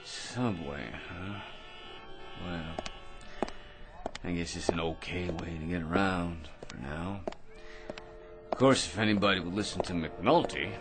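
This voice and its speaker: An actor playing a tipsy, cheeky barroom blowhard who rambles, stammers and wheedles in an old-time radio drama style. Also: male voice, sits low, a little slow, long pauses. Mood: mock-polite. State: drunk. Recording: music behind radio drama dialogue.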